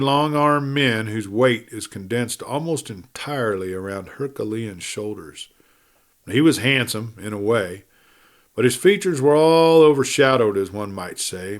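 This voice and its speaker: A man's voice: medium pitch, unhurried. Man narrating a dramatic western story. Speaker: audiobook narrator.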